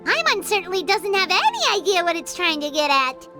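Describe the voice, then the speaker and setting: A woman's voice: very high voice, very animated, fairly quick. A woman voicing a small, chatty female fairy companion in a video game, who talks about herself in the third person. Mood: curious. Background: game music.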